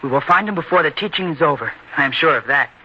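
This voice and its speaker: A man's voice: on the high side, animated, fast talker, slightly rough. A man acting a proud, headstrong farm boy in an old-time radio drama, earnest and declamatory in his insistence. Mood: impatient, firm. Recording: dull, lo-fi radio recording with hiss.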